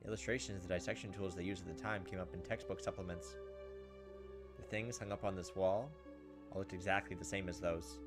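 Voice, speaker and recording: A man reading a visual novel aloud as a let's player, telling it dramatically and acting out the lines. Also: low-pitched. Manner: expressive, storytelling, acts out the lines. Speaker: video game let's player. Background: game music.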